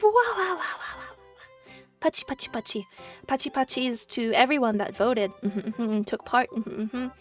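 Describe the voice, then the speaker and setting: A woman's voice: fairly high pitch, animated, normal speed, distant mic. A woman streaming casually as a VTuber rep, bubbly and giggly, with lots of fillers and playful restarts. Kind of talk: casual live-stream chat. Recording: voice a little off-mic, faint music behind.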